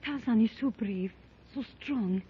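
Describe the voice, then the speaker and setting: An actress playing a devoted young woman held captive in a 1930s radio serial, with a very breathy voice. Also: on the high side, a little slow, slight background noise, natural voice. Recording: dull old radio recording.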